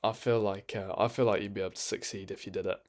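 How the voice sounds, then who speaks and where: A man's voice: on the low side, fairly even intonation, fast. A man chatting casually on a live stream from his bedroom, rambling with lots of ums and restarts.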